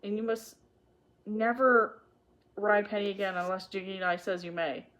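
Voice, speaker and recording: Storybook dad: principled, firm, kindly man with measured authority, read by a woman. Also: medium pitch, fairly even intonation, fairly bright tone, natural voice. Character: firm, fair-minded, stern but kindly father.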